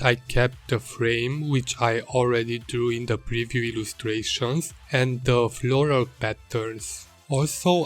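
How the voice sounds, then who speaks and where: A man with a Hungarian accent, whose first language is Hungarian, narrating an art video in a careful, slightly formal way. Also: mid-range voice. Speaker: illustrator and channel host. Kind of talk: calm narrated art voice-over.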